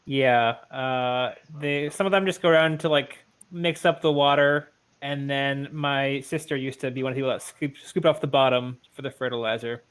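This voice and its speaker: A man's voice: mid-range voice, steady tone, moderate pace, hardly any pauses, a bit bright, clean tone. A man playing a tabletop game, chatting casually and asking the game master questions. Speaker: player.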